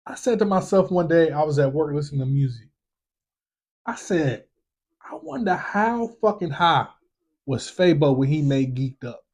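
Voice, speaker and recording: A man close to forty hosts a casual podcast, his voice sitting fairly high for a man. He talks in loose, slangy banter and tends to say his phrases twice over as he thinks aloud. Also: moderate pace. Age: 30s. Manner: relaxed slangy banter, repeats himself. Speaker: podcast host. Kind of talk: casual chat.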